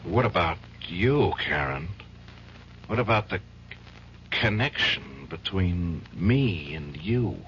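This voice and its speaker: An actor playing a bitter, sarcastic lawyer with a guilty conscience, in a 1970s radio drama. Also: male voice, low-pitched, animated, takes it slowly, a bit bright, heavily acted. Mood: hurt. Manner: dry and sarcastic, flaring up hotly. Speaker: defense lawyer. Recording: dull lo-fi old radio broadcast with faint hiss.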